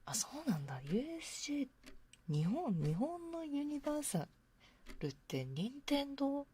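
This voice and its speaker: A woman hosting an ASMR livestream, chatting casually in a soft, hushed voice that often slips into whispers, close to a binaural microphone. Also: sits high, very animated, fast. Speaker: livestream host. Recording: close binaural capture with faint noise. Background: faint noise, soft clicks of a ticking clock.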